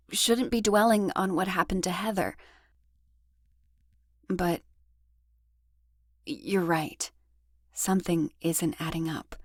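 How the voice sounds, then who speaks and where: A woman playing a guarded, troubled witness who speaks hesitantly and haltingly in an audio drama. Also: medium pitch, no echo, studio quality. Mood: guarded, reluctant.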